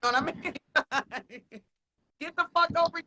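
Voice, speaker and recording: A man co-hosting a podcast in casual chat. He talks frankly and swears a lot, laughs often, and slows down to stress his points. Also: extremely high pitch, background noise. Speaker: podcast co-host.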